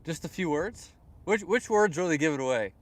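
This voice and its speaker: A man's voice: on the high side, lively intonation, fairly quick. Chatty young Canadian man livestreaming outdoors. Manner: rambling, repeats words, chuckles often. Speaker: fishing livestreamer. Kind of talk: casual live-stream chat reading comments. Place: outdoors at a lake.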